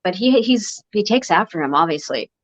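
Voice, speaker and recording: A woman's voice: medium pitch, animated, normal speed, no room sound. A woman hosting a free-flowing interview, chatty and encouraging. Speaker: interview host. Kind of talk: casual interview chat. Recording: dry, dull lo-fi call audio.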